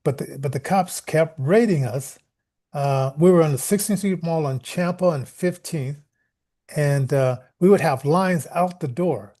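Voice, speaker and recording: Mellow-voiced American man, chatting casually. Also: on the high side, measured pace. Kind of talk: casual chat, anecdotes.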